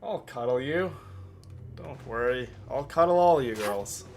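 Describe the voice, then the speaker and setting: A man's voice: medium pitch, animated, a little slow, darkish tone, slight background noise. A man chatting casually and playfully with his livestream chat while he plays a game. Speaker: game streamer. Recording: faint game music behind. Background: game music.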